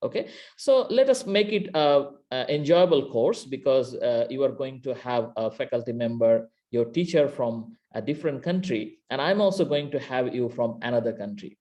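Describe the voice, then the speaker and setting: A man's voice: medium pitch, expressive, moderate pace, hardly any pauses, dark tone, muffled recording. A man from Bangladesh with a Bangladeshi accent, a university lecturer teaching an online class over a computer mic, talking hesitantly with many fillers and restarts. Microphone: computer mic on video call.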